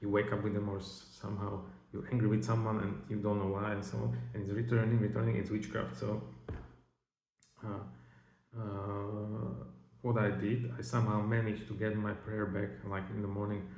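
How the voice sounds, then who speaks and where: A man giving informal spiritual teaching and personal testimony in halting, nonnative phrasing. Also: on the low side, level tone, vocal fry, darkish tone. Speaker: religious teacher. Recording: roomy, echoing voice recording.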